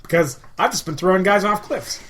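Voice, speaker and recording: A man co-hosting a podcast, chatting casually about games and telling stories in an animated way. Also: high-pitched, brisk. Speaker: podcast co-host. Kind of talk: casual gaming chat. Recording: clear background noise.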